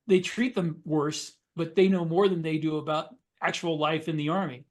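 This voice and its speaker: Male American podcast co-host, slightly high register, casual conversational delivery. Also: steady tone, moderate pace. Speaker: podcast co-host, military science fiction author. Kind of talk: casual discussion.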